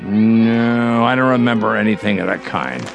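An actor playing a grouchy, bitter elderly man in a radio drama, gravelly and grumbling. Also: lowish voice, lively intonation, normal speed. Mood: sullen grumbling. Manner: grumbling, muttering, repeats himself.

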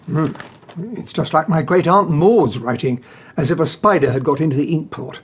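An actor playing a genial, impatient English gentleman sidekick and narrator in a radio drama, with a British accent and a husky voice. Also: a little high, expressive, normal speed. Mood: puzzled, impatient.

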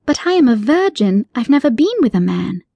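A woman voicing an innocent, earnest young woman with a pleading manner, her pitch raised above her own voice. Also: sing-song, brisk, vocal fry, dark tone, no echo, exaggerated voice.

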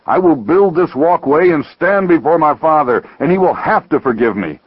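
A man's voice: medium pitch, lively intonation, fairly quick, slightly rough, no room sound, muffled recording, voice pushed up. A man voicing a proud, self-righteous younger brother in a dramatized parable, with an emphatic, declaiming manner. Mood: furious, defiant.

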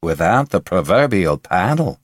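A man voicing a blunt businessman who draws out his words slowly and deliberately. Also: low voice, sing-song, no echo, clean studio sound, heavily acted. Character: slow, blunt businessman, fashion coordinator.

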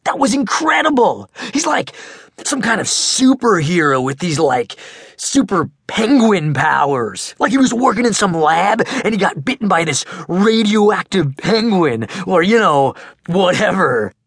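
A huge, rowdy teenage boy, as a man puts him on, blasting and high-pitched with a loud, joking way of talking. The voice swings wildly and sounds a little husky, in a dry close space. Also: very animated, unhurried, no echo, heavily acted. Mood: teasing.

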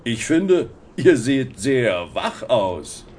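An actor playing a big, gentle and confident zoo elephant in a children's audiobook, speaking deliberately and matter-of-factly. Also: male voice, mid-range voice, sing-song, a little hiss or hum. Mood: confident, friendly.

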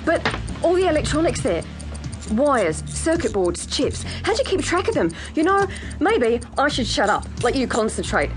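An actress playing a wry, tough army corporal in an audio drama, with a dry, terse, deadpan delivery. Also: female voice, high-pitched, normal speed, music in the background. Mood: nervous, wry.

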